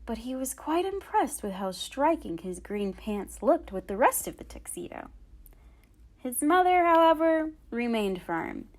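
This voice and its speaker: A woman storyteller with a fairly high, very lively voice. She takes her time and shapes each phrase expressively, as if addressing young children during a picture book read-aloud. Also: measured pace. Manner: animated, dramatic phrasing, clear enunciation for children.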